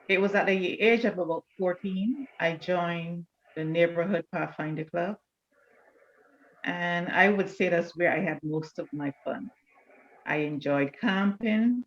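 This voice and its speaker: An older woman, reminiscing in a measured, deliberate way as an interview guest. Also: lowish voice, muffled recording. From Barbados. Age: older, around 70. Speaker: church elder, interview guest.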